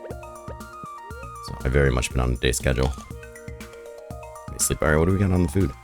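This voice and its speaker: A man with an extremely low, hoarse and raspy voice talks loosely through a gameplay session, thinking aloud as he goes. His voice sounds worn from shouting the night before. Game music plays clearly under his talk. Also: moderate pace. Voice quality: raspy, rough voice. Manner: rambling, thinking aloud while playing. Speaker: gaming video host. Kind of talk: casual gameplay commentary.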